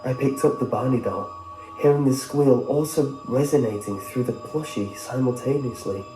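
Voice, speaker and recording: A man's voice: mid-range voice, normal speed. A man reading a scripted first-person horror story as its narrator. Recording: echoey, clear music behind.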